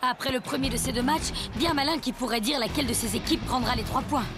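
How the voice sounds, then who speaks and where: A woman as a high-voiced, enthusiastic cartoon commentator. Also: fast, close to an everyday voice. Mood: bright, eager. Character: enthusiastic female football commentator. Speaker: match commentator. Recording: music behind the voice.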